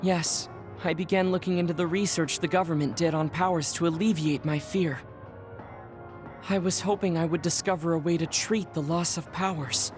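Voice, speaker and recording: A man voicing a reserved young male soldier who hides a secret, speaking formally and softly. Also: fairly high pitch, fairly even intonation, moderate pace, natural voice. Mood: worried, formal. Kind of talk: game cutscene dialogue. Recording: game audio with music behind.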